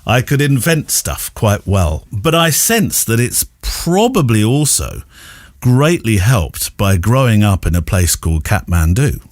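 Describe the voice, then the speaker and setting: A man speaks as a podcast host with a highly animated, expressive delivery. He is enthusiastic and conversational, taking a wordy route through his thoughts. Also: unhurried, dry recording, clean studio sound.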